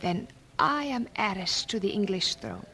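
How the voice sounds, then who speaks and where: A woman's voice: medium pitch, wildly swooping pitch, a little slow, bright, forceful tone, faint music behind, full character voice. An actress playing a proud, ambitious young queen in a historical audio drama, speaking in a formal, regal manner. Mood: guarded ambition.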